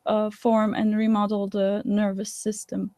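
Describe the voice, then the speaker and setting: A woman from Turkey, a scientist answering interview questions in a thoughtful, measured way with frequent fillers and restarts, heard over a video call. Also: mid-range voice, monotone, dark tone, no room sound, studio quality. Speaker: guest scientist.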